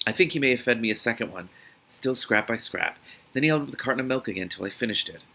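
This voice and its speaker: A man reading a novel aloud as host of a reaction series, in a dramatic, expressive manner. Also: medium pitch, fast, vocal fry, slightly rough.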